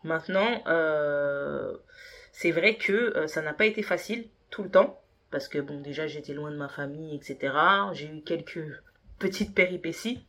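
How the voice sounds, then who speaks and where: A woman's voice: low voice, normal speed, fairly bright tone. Woman in her 30s, yoruba first language, lots of ums, earnest podcast guest. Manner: hesitant, many fillers, earnest. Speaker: podcast guest, business consultant. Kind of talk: conversational interview answers.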